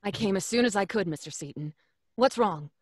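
A woman's voice: medium pitch, expressive, a little fast, slightly rough, no room sound, studio quality. A woman playing a concerned, questioning, soothing family doctor in an old-time radio horror play. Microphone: studio condenser microphone.

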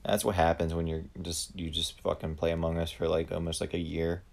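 A man's voice: deep voice, little pitch movement, creaky. A man giving live gameplay commentary, blunt and profane, ranting at the other players. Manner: profane, blunt, quick to rant. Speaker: gamer streamer.

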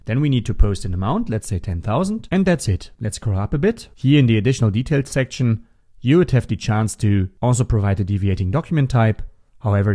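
A man narrating a step-by-step software tutorial as an instructor, in a methodical, instructional manner. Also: fairly low pitch. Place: voice-over for a screen recording.

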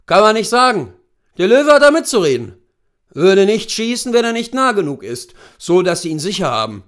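A man reading a story, voicing a gruff, seasoned big-game hunter who talks in terse commands. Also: sits high, big pitch swings, normal speed, a bit bright, pitched above the performer's own voice. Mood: instructing.

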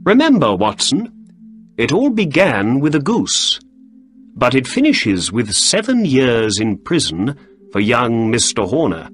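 A man's voice: medium pitch, wildly swooping pitch, measured pace, a bit bright, soft background music, pitched above the performer's own voice. A man voicing a keen, polite male detective who asks probing questions.

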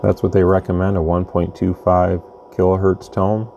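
Man, casual reviewer, even monotone, creaky voice, rambling delivery, indoor bench recording. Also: sits low, moderate pace, highs cut off. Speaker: radio bench reviewer, channel host. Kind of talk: casual product demonstration. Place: indoor radio workbench. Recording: faint background noise, room ambience.

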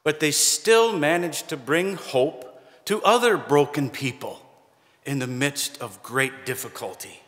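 A middle-aged man preaching a sermon from a pulpit, conversational and lightly humorous, pausing for emphasis. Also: fairly high pitch, a bit bright. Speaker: pastor. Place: church sanctuary, standing at a pulpit. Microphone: pulpit microphone, far camera. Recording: long church reverb.

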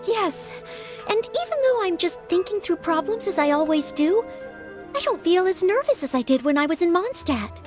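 An actress voicing a polite, earnest, anxious young maid-knight in an anime-style video game, courteous and a little hesitant. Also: female voice, very high voice, sing-song, normal speed, over music. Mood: curious, earnest.

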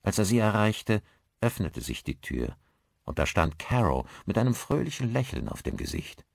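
A man's voice: fairly low pitch, sing-song, moderate pace, creaky, no echo, studio quality. A man reading a scripted story as an audiobook narrator, in a dramatic style.

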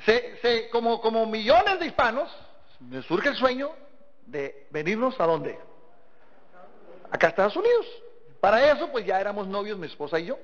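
A man's voice: high-pitched, sing-song, normal speed, long pauses. A man with a northern Mexican accent gives a folksy motivational talk, telling stories and putting rhetorical questions to his listeners. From Sinaloa, northern Mexico. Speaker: motivational business seminar speaker. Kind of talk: motivational talk to a live audience. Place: large seminar hall before an audience. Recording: dull, echoey hall recording.

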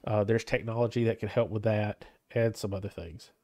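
A man's voice: lowish voice, fairly even intonation, brisk, a little mellow, dry recording. Stuffy-nosed man narrating a game. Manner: rambling, hesitant with many restarts. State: stuffed up from a cold. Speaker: gaming channel host. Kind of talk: casual let's-play commentary.

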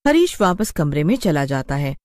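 A woman reading scripted story narration for an animated tale. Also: low voice, moderate pace, dry recording, clean studio sound.

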